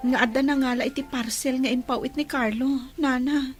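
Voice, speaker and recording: An actress playing an earnest, loyal young daughter and sweetheart in a radio drama. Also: female voice, high voice, steady tone, normal speed, husky, faint music behind. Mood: dismayed. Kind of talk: radio drama acting in Filipino regional serial.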